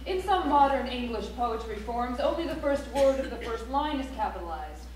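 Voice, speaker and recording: A high-pitched female voice acted by a woman on stage lays down rules with precise, instructional delivery. It is a theatrical recitation, heard from a distance in a very echoey auditorium. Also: expressive, normal speed, a little mellow, lightly acted. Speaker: stage actor. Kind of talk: recited stage reading of a grammar rulebook. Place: library auditorium stage, recorded from the audience. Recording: echoey auditorium, distant mic, faint noise.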